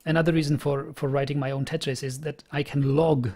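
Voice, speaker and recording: A man's voice: fairly high pitch, little pitch movement, a little fast, a little mellow. A young man with a Czech accent, chatting casually on a programming stream, often repeating words as he thinks aloud. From Czech Republic. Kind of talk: casual live-stream chat.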